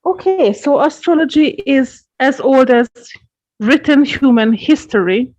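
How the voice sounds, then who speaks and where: A woman from Hungary, a guest astrologer explaining her craft in an earnest, measured way. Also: sits high, expressive. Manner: earnest, measured, gently repeats words. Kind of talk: interview answers, explaining at length. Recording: dull lo-fi podcast audio.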